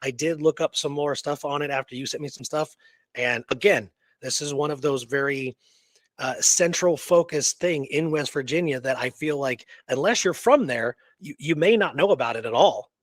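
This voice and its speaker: A man co-hosting a history show, talking off the cuff in a halting way with emphatic repetition. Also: on the high side, no echo. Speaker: co-host of a history radio show. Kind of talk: unscripted history discussion.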